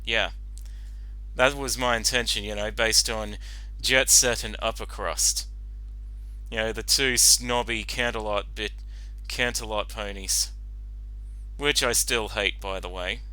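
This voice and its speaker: A man giving casual, unscripted reaction commentary as a fan author, rambling and halting, often saying you know. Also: on the low side, steady tone, pushed and bright, no echo, poor audio.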